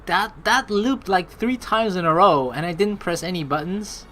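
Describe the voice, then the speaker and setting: A young man hosting a game playthrough, reading dialogue aloud and adding casual, slangy, expressive commentary. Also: high-pitched, normal speed. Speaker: let's play host. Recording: game music behind the voice.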